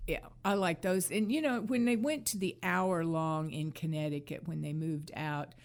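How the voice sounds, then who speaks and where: A woman's voice: deep voice, normal speed. A woman co-host chatting casually about classic TV, often hesitating and repeating her phrases. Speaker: podcast co-host.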